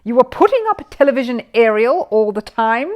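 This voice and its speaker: A woman voicing an officious male police detective in a children's story, brisk and easily surprised. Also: fairly high pitch, very animated, lo-fi sound, voice pushed up. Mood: exasperated. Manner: confidential, hesitant at times, brisk.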